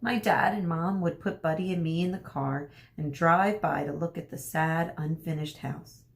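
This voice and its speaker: Slightly low-voiced woman teacher reading aloud. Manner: explanatory, pausing to explain words to children. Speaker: elementary school teacher. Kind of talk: read-aloud lesson for children.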